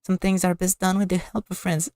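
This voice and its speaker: A woman's voice: fairly low pitch, flat delivery, brisk, a little mellow, no room sound, clean studio sound, lightly acted. An actress voicing a young female spellcaster in a video game, musing to herself expressively with secret self-doubt. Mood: pleased, musing. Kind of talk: video game voice acting, inner monologue.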